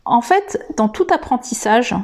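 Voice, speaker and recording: Woman, podcast teacher, slow delivery, fairly high voice, lots of hesitations. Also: animated, darkish tone. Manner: hesitant, many filler sounds and restarts; addresses the listener directly and informally. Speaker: English teacher and podcast host. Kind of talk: unscripted podcast lesson.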